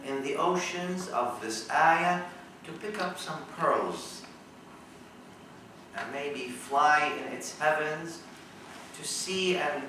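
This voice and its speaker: A man's voice: fairly high pitch, lively intonation, slow, sharp tone, slight background noise. A man giving a religious lecture to a live audience, explaining a verse piece by piece and quizzing his listeners. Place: lecture room in a college. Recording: very echoey room, mic at a distance.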